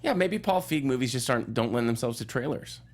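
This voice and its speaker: A man's voice: medium pitch, lively intonation, fast. A man talking casually as a guest on a panel show.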